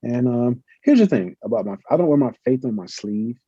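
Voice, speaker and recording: A man's voice: mid-range voice, animated, fairly quick, dark tone, breathy edge, dry recording. A middle-aged man, a professor, answering interview questions in an earnest, reflective way with many fillers. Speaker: professor and podcast guest. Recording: muffled lo-fi audio.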